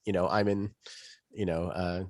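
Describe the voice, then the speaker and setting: A man's voice: low voice, steady tone, moderate pace, darkish tone, no echo, clean studio sound. A man chatting casually as a podcast co-host, thinking aloud and wandering into asides.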